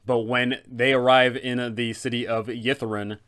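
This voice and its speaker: A man's voice: medium pitch, flat delivery, measured pace, a bit bright. A man talking as an informal game master host, explaining things in a conversational, instructional way.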